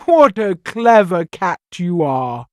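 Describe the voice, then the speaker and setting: A woman voicing a kindly old man from a storybook, talking to his pet in an expressive, unhurried way. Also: fairly low pitch, no room sound, studio quality. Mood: fond.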